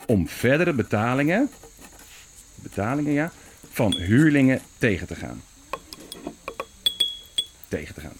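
An actor playing an authoritative princely army commander in a historical radio drama, dictating deliberately and giving clipped orders. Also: male voice, on the low side, slight background noise.

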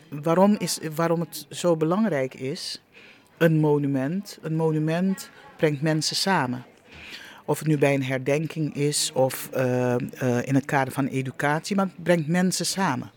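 A woman answering as an interview guest, speaking spontaneously and haltingly, repeating words for emphasis. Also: low-pitched, a little mellow. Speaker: interview guest, monument project leader.

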